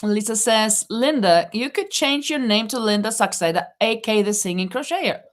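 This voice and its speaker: A woman's voice: a little high, expressive, moderate pace. A middle-aged woman hosting a casual live crochet chat, laughing often and reading viewer comments aloud. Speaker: livestream host. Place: home in Spain.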